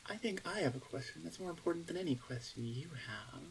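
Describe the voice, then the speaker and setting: A woman playing a teasing, smug older orc shaman sister in an ASMR roleplay, with a playful, drawn-out, self-assured delivery. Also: low-pitched, lively intonation, slight background noise, rough recording. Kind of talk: ASMR roleplay audio drama.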